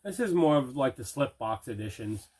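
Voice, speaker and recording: A man's voice: mid-range voice, animated, moderate pace, darkish tone, slightly rough. A man hosting a casual unboxing chat, talking with plain, repetitive enthusiasm about items in a collection. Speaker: host of a movie collection unboxing show.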